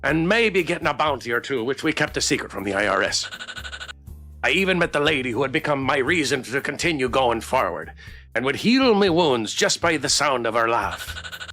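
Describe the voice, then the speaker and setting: A man's voice: mid-range voice, very animated, normal speed, sharp tone, soft background music. A man voicing a swaggering, teasing, big-hearted drunk pirate woman from a game, in hearty theatrical pirate dialect. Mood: proud storytelling. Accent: stage pirate.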